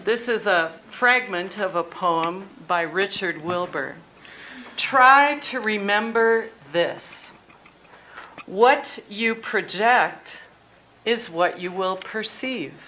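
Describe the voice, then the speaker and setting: A woman with a big, carrying voice speaks slowly to a small group in a meeting room. Her tone is bright and forward. Faint room noise sits underneath. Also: medium pitch, animated, poor audio. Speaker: co-presenter. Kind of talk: informal talk and poetry reading.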